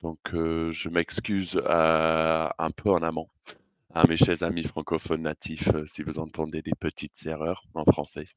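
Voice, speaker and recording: A man's voice: rumbling low, completely flat delivery, a little slow, no room sound. A British man speaking in a second language with a British accent, giving a prepared conference talk in a hesitant, careful way. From Britain. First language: English.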